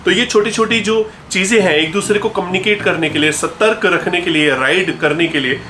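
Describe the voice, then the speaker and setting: A man's voice: sits high, brisk, sharp tone, slight background noise. An Indian man giving a casual product explainer as a video host, conversational and explanatory, checking in with questions and mixing in English phrases. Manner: mixes English words and phrases into speech. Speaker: video host and gear store seller.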